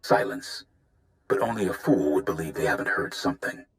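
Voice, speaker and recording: An actor playing a seasoned, watchful warrior advisor in a video game, speaking in a measured, grave way. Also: male voice, very deep voice, animated, gravelly, fairly bright tone, slight background noise, full character voice. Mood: guarded.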